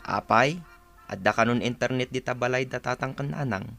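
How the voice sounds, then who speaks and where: Questioning husband, middle pitch, bright tone, mild, Ilocano accent, radio drama. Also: male voice, moderate pace, vocal fry, soft background music. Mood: mildly curious.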